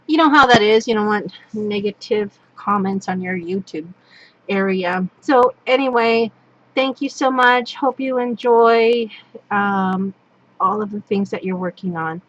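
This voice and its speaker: A woman from Northern California, hoarse and losing her voice, casually walking through a product catalog with lots of ums and restarts, recorded at a table with an overhead camera. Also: mid-range voice, measured pace, no room sound, dull audio. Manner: rambling, full of ums and restarts. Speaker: craft product demonstrator. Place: indoors at a wooden table. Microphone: overhead camera on a tabletop, hands only.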